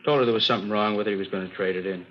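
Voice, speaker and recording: Police investigator male actor, matter-of-fact, fast clipped phrases, lowish voice, dull old radio sound. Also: lightly acted. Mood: businesslike. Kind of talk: 1950s radio police drama, clipped dialogue. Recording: dull, faintly hissy old radio recording.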